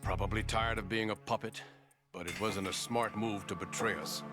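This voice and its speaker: A man's voice: fairly low pitch, steady tone, moderate pace, loud music behind the voice, lightly acted. An actor playing a mysterious male informant who explains in an urgent, cryptic way, in a video game. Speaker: secret informant. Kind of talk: video game radio call acting.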